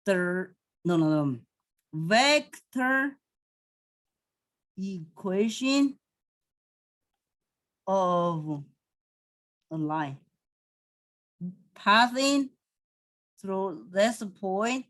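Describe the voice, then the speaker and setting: Slow-speaking woman with a Chinese accent. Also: mid-range voice, expressive, a bit bright. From southwest China. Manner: slow, halting, thinking aloud, self-correcting. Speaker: math lecturer. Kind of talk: recorded lecture over a digital whiteboard.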